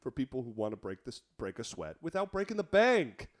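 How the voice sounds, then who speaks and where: A man's voice: mid-range voice, big pitch swings, a little fast. A young man co-hosting a comedy podcast, riffing playfully and reading sponsor lines between crude jokes. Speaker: podcast co-host. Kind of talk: casual comedic chat.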